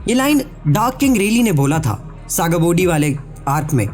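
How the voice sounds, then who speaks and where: A man's voice: high-pitched, sing-song, moderate pace, darkish tone, faint music behind. Chatty man with a stuffy nose. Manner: chatty, addresses viewers directly, mixes in English words. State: sore throat and blocked nose. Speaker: anime theory YouTuber. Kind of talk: casual explainer voice-over. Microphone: voice-over recorded separately.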